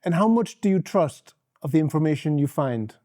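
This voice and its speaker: A man interviewing students, asking questions in a relaxed, conversational way. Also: a little high, normal speed.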